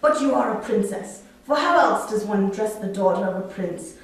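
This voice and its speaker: A woman's voice: medium pitch, animated, moderate pace, natural voice. A woman playing an imperious exiled duke and magician, a father, declaiming theatrically in a stage puppet play. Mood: calm, patronizing. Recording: echoey room, distant microphone.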